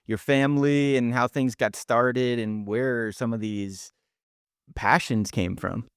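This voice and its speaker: A man's voice: mid-range voice, fairly even intonation, clean tone, no echo, clean studio sound. A man co-hosting a podcast, earnestly reading out a guest introduction and then asking questions, with small stumbles. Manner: earnest, measured, slight stumbles. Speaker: podcast co-host.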